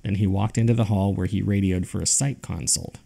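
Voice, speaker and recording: A man's voice: low-pitched, fairly even intonation, fairly quick, creaky, mellow. A man reading scripted narration as the host of a horror fiction podcast. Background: background music.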